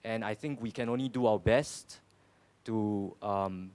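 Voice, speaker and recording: A Singaporean man in his twenties answers questions earnestly, often stumbling and repeating words. His voice sits a little low for a man. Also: a little slow, a little mellow. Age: 20s. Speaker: panel speaker. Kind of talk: panel Q&A answers.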